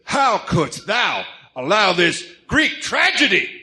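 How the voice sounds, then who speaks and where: A man's voice: high voice, sing-song, slow, pushed and bright, full character voice. A man playing a delusional crime boss who believes he is Zeus, declaiming grandly in archaic words in an amateur audio drama. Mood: indignant, pompous. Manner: grandiose, theatrical, archaic diction.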